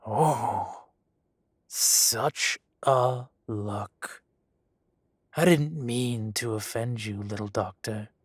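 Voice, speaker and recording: A man voicing a menacing adult male dragon gang boss in an audio roleplay drama. He speaks softly and condescendingly, with deliberate, slow threats and hushed whispers. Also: mid-range voice, breathy edge, no echo, clean studio sound. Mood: silky menace. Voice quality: soft-spoken, silky and condescending, drops to whispers.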